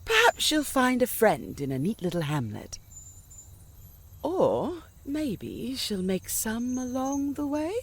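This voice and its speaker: A woman playing a gentle, kindly fairy guardian in an audio drama, courteous and reassuring. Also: on the high side, sing-song, takes it slowly, breathy edge, full character voice. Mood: tender, reassuring.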